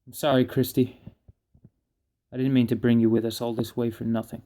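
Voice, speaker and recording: A man's voice: mid-range voice, little pitch movement, a little fast, dark tone, slight background noise, lightly acted. A man playing a grim, somber team leader in a fan audio drama. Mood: defeated.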